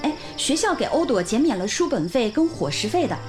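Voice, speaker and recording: A woman's voice: fairly high pitch, big pitch swings, brisk. An actress playing a friendly, caring woman who teaches at a village school, in a radio drama. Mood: sorrowful concern. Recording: music under the dialogue.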